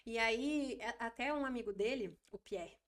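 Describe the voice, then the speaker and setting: Casting: young woman guest, higher voice, animated storyteller, casual interview. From Brazil. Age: 20s. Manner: chatty, animated storytelling with quick repeats. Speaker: podcast guest.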